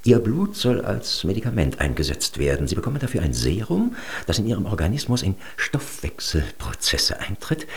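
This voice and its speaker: An actor playing a condescending East German state doctor in a radio drama, explaining things in an officially reassuring way. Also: male voice, low-pitched, wildly swooping pitch, moderate pace, exaggerated voice. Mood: patronizing, reassuring.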